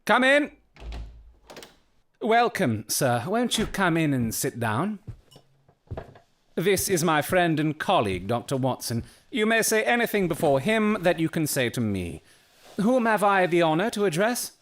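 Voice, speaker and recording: A shrewd, urbane detective in an audio drama. The voice sits a little high and swings freely in pitch. The delivery is formal and precise, with a theatrical, dryly teasing edge. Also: male voice, sing-song, a little slow, fairly bright tone, full character voice. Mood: assured, courteous.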